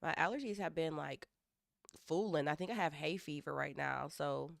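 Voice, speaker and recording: Young woman, low and hoarse, rambling live-stream chat, dry close sound. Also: moderate pace, no echo. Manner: rambling, self-interrupting, thinking aloud. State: hoarse from allergies. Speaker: livestreaming developer.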